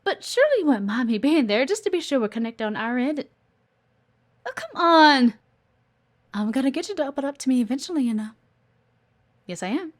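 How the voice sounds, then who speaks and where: A woman's voice: sits high, very animated, brisk, pauses often. A woman playing a playful, teasing, observant executive assistant in an intimate one-sided audio roleplay. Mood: playful, pushy. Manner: coy, teasing, chatty, with murmured asides.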